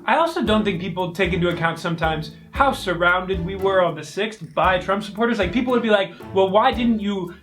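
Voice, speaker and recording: A man's voice: high voice, a little fast, few pauses, a bit bright, soft background music. A man chatting casually as a commentator, mockingly acting out other people's words. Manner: mocking, quotes others in acted voices. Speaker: video commentator. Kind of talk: casual reaction chat.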